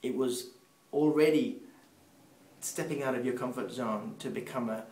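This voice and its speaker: Man, 30s, English accent tinged by Peruvian Spanish, measured testimony, echoey room. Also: medium pitch, a little mellow. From Peru. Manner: unhurried, pausing, with restarts. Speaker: speaker sharing family testimony. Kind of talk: personal faith storytelling. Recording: roomy sound from a distant mic.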